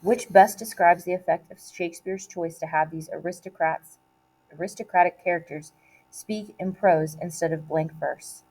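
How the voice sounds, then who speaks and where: A woman reading aloud like a teacher giving a test, in a measured, deliberate way with short, separated phrases. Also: lowish voice, steady tone, darkish tone, low-quality recording. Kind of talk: read-aloud of multiple-choice questions and quoted play lines.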